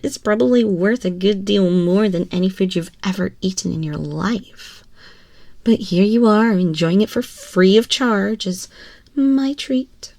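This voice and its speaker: A woman's voice: medium pitch, darkish tone, no echo. A woman voicing a haughty, teasing, domineering young empress in an ASMR roleplay, condescending and often dropping toward a whisper. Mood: haughty, commanding. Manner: condescending, slow, breathy purring with whispers. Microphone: close binaural microphone.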